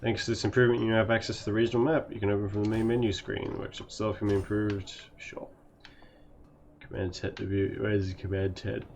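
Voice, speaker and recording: A man streaming a video game, sick with a gravelly voice, casually chatting and reading tutorial text aloud. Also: lowish voice, flat delivery, quick pace, darkish tone, a little hiss or hum. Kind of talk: casual let's-play commentary.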